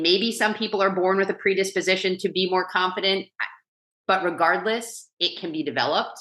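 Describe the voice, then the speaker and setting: A middle-aged woman from New York, a coach and author, telling her story in a casual, earnest, chatty way as a podcast guest. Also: bright, forceful tone. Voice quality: low voice. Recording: echoey room.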